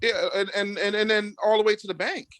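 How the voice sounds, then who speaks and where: A man guesting on a podcast, talking in a loud, booming, blunt and profane way, sometimes slipping into mocking voices. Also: steady tone, brisk, no room sound. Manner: slips into mocking put-on voices. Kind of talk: profane casual chat.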